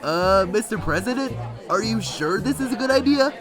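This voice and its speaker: A woman's voice: a little high, lively intonation, moderate pace, close to an everyday voice. A woman reads as a skeptical young adventurer in a fan story. The manner is uneasy and slangy, in a lightly changed voice over music. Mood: doubtful. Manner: nervous, casual slang.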